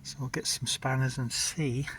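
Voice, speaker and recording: A man with a slightly chesty voice talks through a farm machinery repair as he goes. He thinks aloud in an easy, casual way and fills pauses with lots of ums. His pitch sits in an ordinary middle range, with faint background noise behind him. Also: steady tone, fairly bright tone. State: a bit chesty after illness. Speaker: farmer and video maker.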